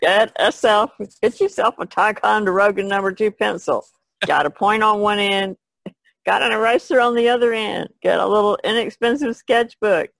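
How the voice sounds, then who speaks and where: A woman's voice: on the high side, creaky, dry recording. A woman co-hosting a podcast in casual chat, speaking in a plainspoken, practical way. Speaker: podcast co-host.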